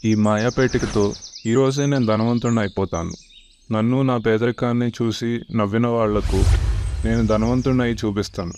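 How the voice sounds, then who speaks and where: A man's voice: mid-range voice, steady tone, moderate pace, a little mellow, a little hiss or hum, close to an everyday voice. A man playing a poor, devout farmer who longs for riches in a children's cartoon story, speaking in an earnest, pleading manner. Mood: eager.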